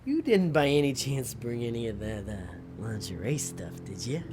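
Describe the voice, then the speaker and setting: A man playing an earnest, soft-hearted damned soul in an audio drama, hesitant and apologetic. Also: medium pitch, sing-song, normal speed, music in the background, close to an everyday voice.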